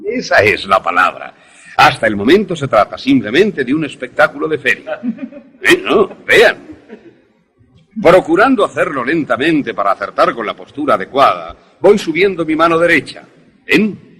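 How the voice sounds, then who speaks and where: A man acting an enthusiastic professor in a radio drama, lecturing in a deliberate, didactic, theatrical way. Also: medium pitch, big pitch swings, sharp tone, slightly rough, full character voice. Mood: eager, instructive. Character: enthusiastic male professor demonstrating an invention to students.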